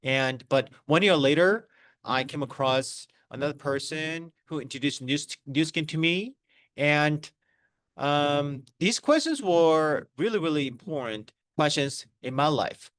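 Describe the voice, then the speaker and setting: A man's voice: on the high side, lively intonation, fairly bright tone, dry recording. Korean accent guy in his 30s, stop-start presenting, dry close sound. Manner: halting, many fillers and restarts. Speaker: network marketing presenter. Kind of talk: online business presentation.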